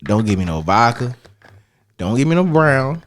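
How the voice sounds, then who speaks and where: A man in casual podcast banter, relaxed and joking. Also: mid-range voice, normal speed. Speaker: podcast co-host. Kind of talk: casual chat.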